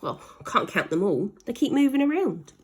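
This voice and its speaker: A woman voicing a plucky, quick-thinking young boy in a children's storybook, with urgent, exclaiming delivery. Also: a little high, very animated, husky, voice pushed up. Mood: resigned.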